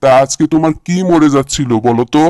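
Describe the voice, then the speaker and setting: A man plays a long-suffering village fisherman in a cartoon. His voice sits fairly high, with the practical air of a tired husband. It is recorded dry, with no room sound. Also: quick pace, a little mellow, no echo, close to an everyday voice. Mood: contented. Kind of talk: cartoon character dialogue.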